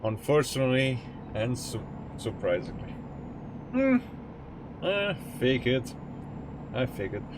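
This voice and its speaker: A man's voice: mid-range voice, big pitch swings, slow pace, long pauses, background noise. A young man from Estonia with an Estonian accent, a streamer chatting casually and jokingly with his live chat. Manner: rambling and jokey, answering chat.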